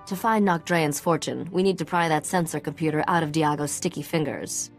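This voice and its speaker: A shrewd, secretive treasure hunter in a video game. She speaks in a low voice with cool, knowing confidence. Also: brisk, faint music behind. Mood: explaining, confident. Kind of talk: video game dialogue acting.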